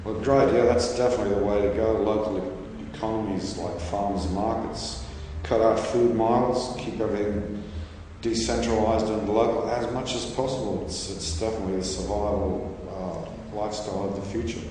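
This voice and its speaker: A man speaks in a lowish voice, earnest and emphatic as he makes his case. He is heard from a distance in a very echoey room with a long reverb tail. Clear background hiss runs under the talk. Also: steady tone, moderate pace, hardly any pauses, mellow. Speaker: discussion participant. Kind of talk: casual brainstorming chat.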